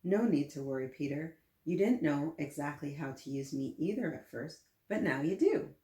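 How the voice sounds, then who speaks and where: A woman's voice: low-pitched, animated, moderate pace, mellow. A woman voicing a friendly, eager talking toothbrush in a children's storybook, upbeat and reassuring. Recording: roomy, echoing room sound.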